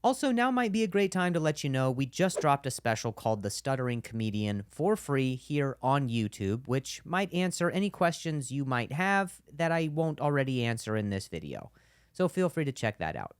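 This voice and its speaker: A man's voice: medium pitch, moderate pace, clear, resonant tone. A man in his thirties with a stutter, a stand-up comedian talking to the crowd and narrating his video. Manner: animated, exasperated, escalating outbursts.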